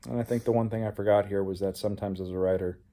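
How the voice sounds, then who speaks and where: A man's voice: on the low side, fairly even intonation, rapid-fire, dark tone. A man talking reflectively in a personal vlog as a playwright, earnest and introspective. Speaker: playwright, show host.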